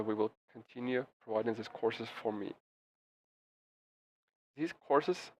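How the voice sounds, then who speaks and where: Male interpreter, halting restarts. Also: medium pitch, darkish tone. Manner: halting, frequent restarts and fillers. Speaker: simultaneous interpreter. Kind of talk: live interpretation of a press briefing. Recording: slightly muffled with faint background noise.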